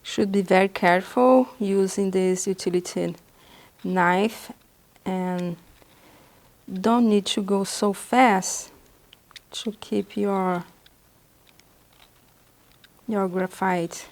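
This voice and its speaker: A woman from Brazil whose first language is Portuguese, speaking with a Brazilian Portuguese accent as she patiently demonstrates drawing supplies in a beginner art lesson, pausing often as she explains. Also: mid-range voice, fairly even intonation, darkish tone. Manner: patient, halting explanations with pauses. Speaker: art instructor.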